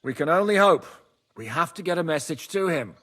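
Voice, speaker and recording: A man playing a grave medieval commander in a game, speaking with measured, formal authority. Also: fairly high pitch, lively intonation, a bit bright. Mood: grave, resolute. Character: grave medieval commander planning a desperate mission. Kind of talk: dramatic game cutscene acting.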